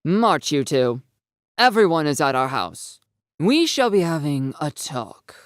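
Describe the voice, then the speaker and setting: A narrator voicing a stern, impatient, angry mother who scolds teenagers in a brisk, commanding way for an audio story. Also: mid-range voice, sing-song, no room sound, clean studio sound. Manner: brisk, commanding, sharp questioning.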